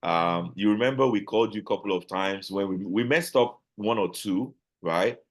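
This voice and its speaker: A man with a Nigerian accent, a guest talking casually in an interview, animated and full of restarts and repeated words. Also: on the low side, moderate pace. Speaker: guest, business owner.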